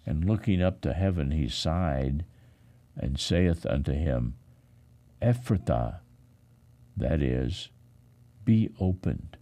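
A man reading scripture aloud as a pastor, in a solemn style with deliberate phrasing and emphatic pauses. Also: extremely low pitch, animated, mellow.

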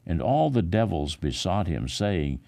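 A man, a pastor, reading the Bible aloud in a solemn, dramatic style with measured, expressive emphasis. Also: sits low, vocal fry.

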